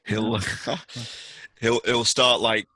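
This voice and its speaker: A man's voice: mid-range voice, level tone, very slow, pushed and bright, dry recording. A man narrating a tabletop game as game master.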